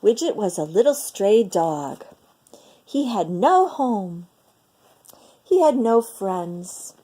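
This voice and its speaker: A woman reads a children's story aloud with a lively, swooping melody. Her voice is mellow and she takes her time, leaning into the phrases with dramatic, deliberate delivery. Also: medium pitch. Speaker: storyteller reading a picture book aloud. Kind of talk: expressive children's read-aloud.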